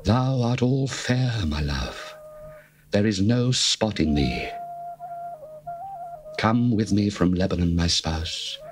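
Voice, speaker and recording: An actor playing an adoring young bridegroom, reading scripture in a slow, measured, reverent way over faint music. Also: male voice, on the low side, expressive. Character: adoring male bridegroom, young adult, tender and devoted.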